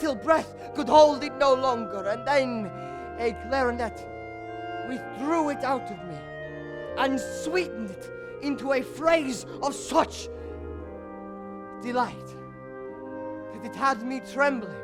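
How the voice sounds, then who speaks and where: A man's voice: very high-pitched, music in the background. A male actor playing a proud, envious court composer in a formal, theatrical stage monologue. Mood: awed, troubled. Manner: formal, grandiose, deliberate with dramatic pauses.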